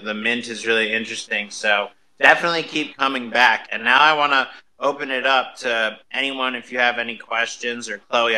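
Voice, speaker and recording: A man's voice: mid-range voice, pushed and bright, poor audio. A man hosting a casual live radio interview, talking loosely with plenty of "like" and "right". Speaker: radio show host.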